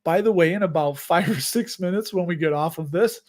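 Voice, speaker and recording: A middle-aged man with a Chicago accent chats loosely through viewer questions on his livestream. His voice sits a little on the high side, and he often breaks off mid-thought and laughs at his own jokes. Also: brisk. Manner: rambling and chatty, with frequent restarts and self-amused laughs. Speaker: host of a dividend investing livestream. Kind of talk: casual livestream chat answering viewer questions.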